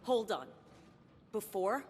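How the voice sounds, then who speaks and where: A woman's voice: mid-range voice, very animated, takes it slowly, a little mellow, a little hiss or hum. A woman playing a hurt, distrustful younger woman in a game cutscene, speaking in a pointed, emotional way. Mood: defiant, alarmed. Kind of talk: dramatic scripted game cutscene acting. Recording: long reverberant hall, music behind.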